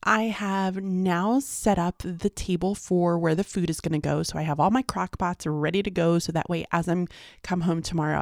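A rambling, chatty woman, sleepy and sliding into a gravelly vocal fry. Her voice sits a little low and is recorded dry, close to a camera mic. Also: darkish tone, dry recording. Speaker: vlogger. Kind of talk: casual daily vlog chat.

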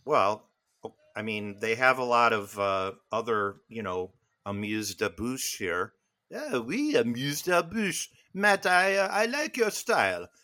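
A man putting on a halting fake-French gent. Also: medium pitch, very animated, pushed and bright. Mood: hesitant. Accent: put-on French. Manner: halting, constant "uh" fillers, slow and hesitant. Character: pompous fake-French middle-aged gentleman. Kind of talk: improvised comedy bit.

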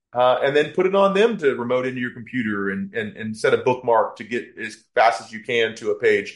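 A man with a mid-range voice talks as a podcast guest who runs a business. He explains in a conversational way, restarting and doubling words as he works through each point. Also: mid-range voice.

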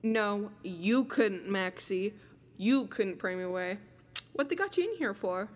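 A woman voicing the ghost of an executed male killer in an old-time radio drama, speaking slowly in a teasing, menacing way. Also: mid-range voice, animated, lightly acted. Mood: taunting.

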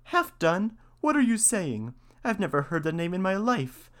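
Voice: medium pitch, big pitch swings, moderate pace, fairly bright tone, pitched above the performer's own voice. A person voicing a small, trusting, easily indignant female mouse in a storybook fairy tale. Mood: surprised, doubtful.

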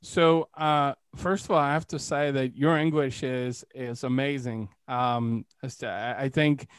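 A man's voice: medium pitch, steady tone, unhurried, clear, resonant tone, no room sound. Dry-sounding man hosting casually. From Eastern Europe. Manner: rambling, frequent "you know". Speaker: podcast host, entrepreneur. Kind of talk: casual conversational interviewing.

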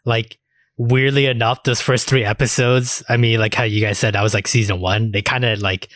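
A man's voice: mid-range voice, steady tone, normal speed, fairly bright tone, no echo. A man co-hosting a podcast, chatting casually in loose, colloquial phrasing. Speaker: podcast co-host. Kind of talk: casual chat.

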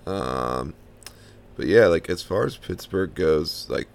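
A man from the Washington DC–Baltimore area hosting a podcast, with a bassy baritone voice, laid-back and chatting with short agreeing replies. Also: animated, slow pace, gravelly. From Washington DC–Baltimore area, USA. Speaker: podcast host. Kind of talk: casual podcast interview.

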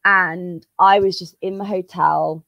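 A woman, a jiu-jitsu competitor, chatting casually as an interview guest with many fillers and restarts; her voice is a little hoarse from a cold. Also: fairly low pitch, steady tone, slow pace, fairly bright tone, dry recording. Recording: dull, dry lo-fi sound.

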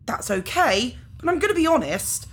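A woman's voice: high-pitched, very animated, moderate pace. A woman playing a casual, apologetic organ harvester in a science fiction audio drama, talking in an awkward, nonchalant way. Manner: nonchalant, hesitant, politely awkward.

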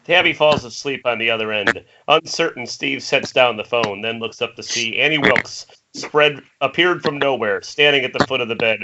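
A man's voice: mid-range voice, normal speed, few pauses. A man narrating, reading out screenplay stage directions in a table read. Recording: muffled internet call, faint noise.

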